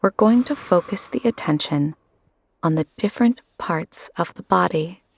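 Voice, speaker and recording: A woman leading a guided sleep meditation, calmly giving instructions in short phrases with long pauses. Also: on the low side, gravelly, no room sound, clean studio sound.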